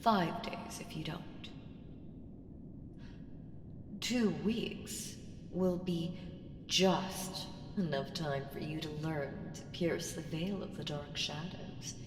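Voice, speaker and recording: A woman's voice: sits low, slow, music in the background. A woman voicing an ancient evil entity in an ASMR roleplay, seductive, sly and taunting, with ominous teasing and whispered phrases. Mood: instructing, foreboding. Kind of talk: ASMR roleplay audio drama. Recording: reverberant hall effect, faint noise.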